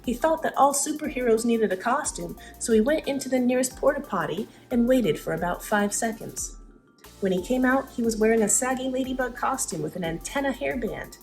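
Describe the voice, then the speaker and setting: A woman reads a story aloud in a dramatic, expressive way. She slips into casual commentary between passages. Music plays underneath. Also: a little high, brisk. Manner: expressive, acts out dialogue. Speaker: vlogger reading own childhood story.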